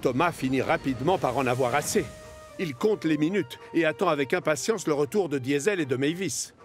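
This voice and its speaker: A man narrating a children's animated story in a scripted, expressive storytelling style with deliberate phrasing. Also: a little high, faint music behind.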